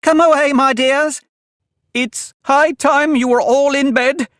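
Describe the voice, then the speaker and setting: A man's voice: very high voice, fairly even intonation, slow pace, a bit bright, no room sound, studio quality, voice pushed up, exaggerated voice. Man putting on a piping, trembling mother canary. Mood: anxious. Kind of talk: storybook character voice.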